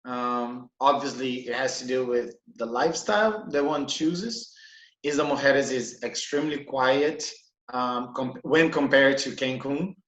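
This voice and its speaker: A man from Brazil, a hotel manager, chatting in a relaxed, halting way with many fillers on a video call. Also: medium pitch. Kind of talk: casual interview. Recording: echoey room, dull lo-fi sound.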